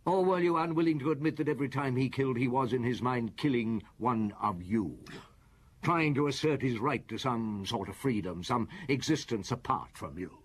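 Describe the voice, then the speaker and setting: An actor playing a weary, relentless middle-aged chief inspector in a radio detective drama, speaking formally and deliberately. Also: male voice, mid-range voice, husky, close to an everyday voice. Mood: probing, accusing.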